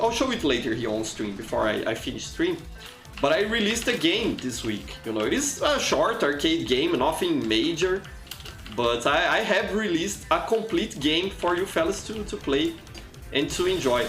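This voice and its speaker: Brazilian man, Portuguese native, game streamer; chatty, stumbles and repeats words; a bit high-pitched; roomy sound, faint music. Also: very animated, normal speed, a bit bright. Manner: chatty, frequent restarts and repeated words, reads chat aloud. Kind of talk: casual live gameplay commentary.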